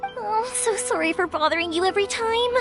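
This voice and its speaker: High, perky young fireworks maker. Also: female voice, fairly quick. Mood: surprised, protesting. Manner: perky, expressive, chatty. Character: cheerful young fireworks maker woman, helpful and eager. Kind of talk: scripted video game voice acting. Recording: music playing behind the voice.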